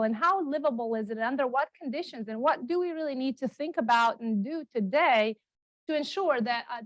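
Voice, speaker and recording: A middle-aged woman from Romania gives a talk at a standing microphone. Her voice sits a little high, and she talks chattily, correcting herself with lots of ums and repeats. The sound is dry and close. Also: no echo, low-quality recording. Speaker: guest speaker, geography professor. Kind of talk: public lecture. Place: meeting room, also streamed on Zoom. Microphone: standing microphone, not held.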